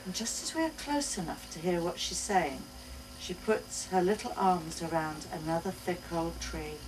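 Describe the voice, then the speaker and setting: A woman giving an expressive live reading of a first-person short story. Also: lowish voice, moderate pace. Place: indoors at a bookshop book launch. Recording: distant mic, noisy live bookshop event.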